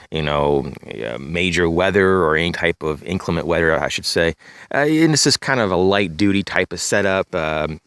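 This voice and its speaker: A man with a slightly raspy, congested voice, casually reviewing his backpack outdoors, rambling with many fillers and clearing his throat. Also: deep voice, a bit bright, dry recording. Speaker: gear reviewer, channel host. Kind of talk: casual show-and-tell gear review. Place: outdoors in leafy woods, bag on a chair.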